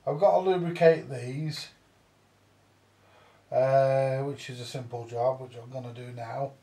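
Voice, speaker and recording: A man's voice: medium pitch, steady tone, a little slow, creaky, warm tone. A British man giving a casual, hands-on repair commentary, muttering to himself and swearing now and then as he works. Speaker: vintage audio repairer. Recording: roomy echo, distant mic.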